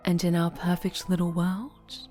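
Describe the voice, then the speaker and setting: A woman playing a sinister, obsessively doting dark-angel superhero in an intimate ASMR roleplay, cooing and whispering with sweet menace. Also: on the low side, flat delivery, moderate pace, faint music behind, natural voice. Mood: obsessive, seductive.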